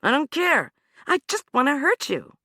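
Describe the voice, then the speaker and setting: A woman's voice: high-pitched, huge pitch swings, moderate pace, fairly bright tone, no echo, studio quality, pitched above the performer's own voice, full character voice. A woman narrator voicing a tough, angry woman who swears and threatens a man she has pinned down. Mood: threatening.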